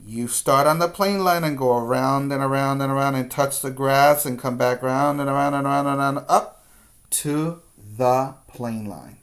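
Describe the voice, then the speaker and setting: A middle-aged man giving a preschool lesson as a teacher, with deliberate, repetitive step-by-step instructions, recorded indoors on a webcam. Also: medium pitch. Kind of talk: instructional video lesson for young children. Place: small room indoors. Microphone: webcam at desk distance.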